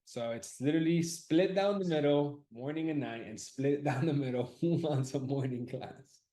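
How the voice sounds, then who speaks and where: A man's voice: a little high, moderate pace, dark tone. A man running a live audience poll about class times, casually thinking aloud, loose and profane, often repeating himself.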